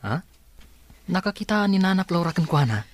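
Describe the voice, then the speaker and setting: An actor with a Filipino accent playing a self-assured, casual young engineer in a radio drama. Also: male voice, high voice, big pitch swings, normal speed, dry recording. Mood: teasing, curious.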